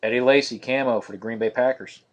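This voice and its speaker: Male card-break host, mid pitch, flat steady delivery, live-stream feel. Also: quick pace. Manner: monotonous, steady listing of cards.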